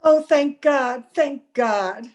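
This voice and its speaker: Brief: haunted young female witness, acted by a woman, high pitch, pleading, melodramatic radio-horror delivery. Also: slow, a little mellow. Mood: pleading, anxious. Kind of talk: old-time radio horror acting. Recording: home video-call recording.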